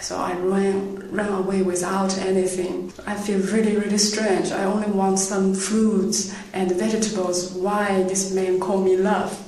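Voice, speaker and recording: A woman whose first language is Chinese tells a personal story in a halting, hesitant way. Her voice stays flat, and she sounds far from the microphone in a very echoey room with faint background noise. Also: medium pitch. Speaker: student interviewee. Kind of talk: personal anecdote.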